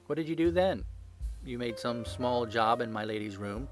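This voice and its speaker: A man playing a confident gentleman detective in an audiobook, speaking with brisk authority and dry wit. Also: mid-range voice, expressive, faint music behind, natural voice. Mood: commanding. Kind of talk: audiobook character acting.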